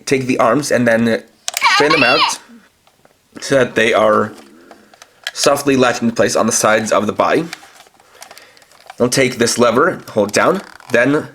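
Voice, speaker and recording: A man's voice: mid-range voice, noisy background. A man reviewing toys, walking through each feature in a methodical, step-by-step way. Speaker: toy reviewer. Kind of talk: toy review demonstration.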